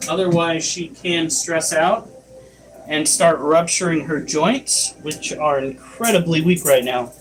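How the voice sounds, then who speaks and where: Livestream host: man, slightly higher voice, unhurried, chatty explainer. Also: faint music behind, rough recording. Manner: chatty, explains tarantula care while answering chat. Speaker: livestream host and pet keeper. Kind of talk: casual livestream chat.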